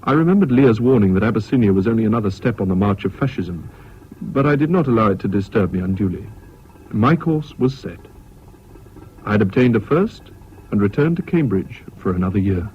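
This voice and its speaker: A man's voice: lowish voice, expressive, fairly quick, close to an everyday voice. An actor playing an earnest young English student who recalls his past in a British radio drama. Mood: measured, reflective. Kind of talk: 1970s British radio drama acting and narration. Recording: dull, hissy old radio recording.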